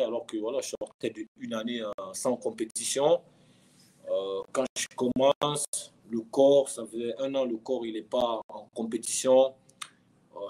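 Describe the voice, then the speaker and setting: Husky, lower-pitched man in his thirties. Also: a little mellow, low-quality recording. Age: 30s. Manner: repeats words and phrases for emphasis. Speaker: professional footballer, interview guest. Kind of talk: casual conversational storytelling.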